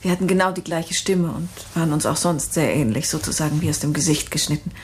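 A woman's voice: sits low, fast, a little hiss or hum, close to an everyday voice. An actress playing a resolute, middle-aged grieving mother in a radio drama, speaking firmly and with composure. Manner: firm, composed.

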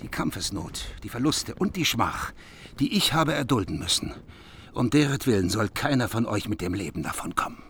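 An actor playing a grieving, implacable king in a German radio drama, stern and deliberate. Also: lowish voice, big pitch swings, fairly bright tone, a little hiss or hum. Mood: bitter, stern. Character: grieving, implacable king Etzel refusing all mercy.

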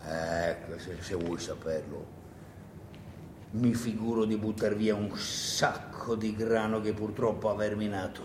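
A man's voice: fairly even intonation, a little slow, close to an everyday voice. A man playing a hardened village miller in a stage play, blunt, proud and grimly emphatic. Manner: emphatic, declarative, grim. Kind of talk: solo theatre monologue. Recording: echoey room with noise and rumble.

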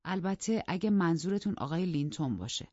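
Woman doing a calm polite housekeeper, lowish voice, dry dull sound. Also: breathy edge, dry recording. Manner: calm, even, polite replies. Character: level-headed woman housekeeper, calm and dutiful.

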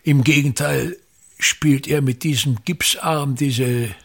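An actor playing a pompous, embittered old touring actor-manager in a radio play, declaiming grandly with a gravelly, husky voice. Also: male voice, medium pitch, steady tone, takes it slowly, exaggerated voice. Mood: pompous, aggrieved. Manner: declamatory, grandiose, emphatic repetition. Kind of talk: theatrical radio play monologue.

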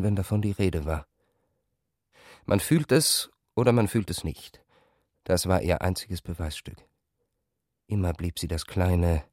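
A man's voice: deep voice, darkish tone, no echo, studio quality. A man reading scripted literary narration for a radio production.

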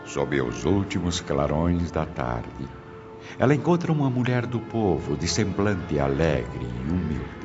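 A man's voice: extremely low pitch, sing-song, measured pace. A man narrating a scripted radio drama in a solemn, formal, dramatic manner. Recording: dull lo-fi audio with music behind.

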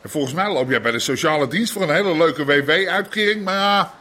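An actor playing a bullying, foul-mouthed red-light crime boss in a radio drama, gruff and sarcastic. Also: male voice, fairly high pitch, animated, fairly quick, bright, forceful tone. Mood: furious.

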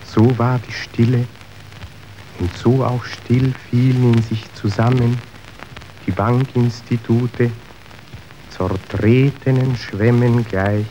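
A man's voice: mid-range voice, fairly even intonation, slow pace, dark tone, dull audio, slight background noise, natural voice. A man acting an impassioned messenger in a radio drama, declaiming verse in a theatrical manner that moves between grave and frenzied. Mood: hushed, solemn. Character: impassioned male messenger reporting a stock market collapse.

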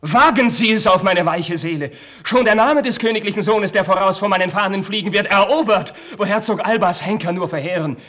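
An actor playing an impassioned, proud young Spanish crown prince in a 1950s German radio drama, with declamatory, theatrical diction and a slightly husky voice. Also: male voice, sits high, a little fast, hardly any pauses, a bit bright. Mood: passionate, insistent.

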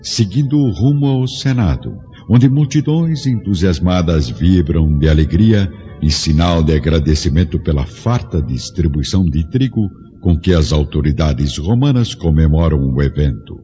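Deep-voiced grave male narrator. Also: animated, normal speed, few pauses, mellow, muffled recording. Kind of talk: scripted dramatic narration.

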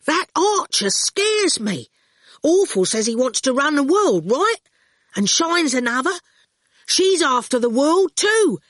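A woman's voice: high-pitched, very animated, slow pace, fairly bright tone, no room sound, studio quality, voice pushed up, heavily acted. A woman voicing a bold, streetwise youngster who bargains in a casual, slangy way for a story. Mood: challenging.

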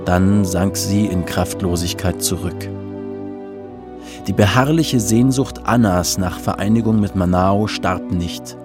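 Man, low voice, solemn measured radio drama narration, unhurried pace, music behind.

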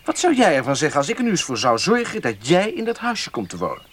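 A scheming young gentleman in a radio drama. His fairly high voice swoops dramatically as he coaxes and condescends, pausing to pick his words. The recording has a faint noise behind it. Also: wildly swooping pitch. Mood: coaxing, eager. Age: young adult. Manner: coaxing, hesitant pauses, emphatic swoops. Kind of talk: radio play acting. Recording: faintly noisy old radio play recording.

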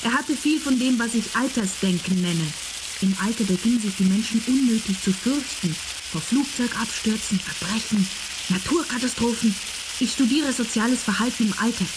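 A woman's voice: a little high, moderate pace, noisy background, natural voice. A young woman playing a cool, flippant student in a radio play, talking with nonchalance. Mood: nonchalant. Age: young adult. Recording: loud sizzling frying pan behind. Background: food frying.

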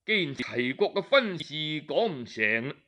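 High, bright-voiced Cantonese male storyteller. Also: very animated. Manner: colloquial, with drawn-out particles and interjections. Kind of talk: lively traditional oral storytelling of historical tales.

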